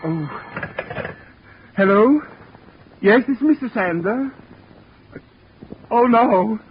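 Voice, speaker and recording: An actor playing an anxious department store manager who moans and repeats himself, in 1940s radio drama style. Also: male voice, sits high, lively intonation, very slow, full character voice. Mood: fretful, distressed. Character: fretful, nail-biting male department store manager. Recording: dull, faintly noisy 1940s radio recording.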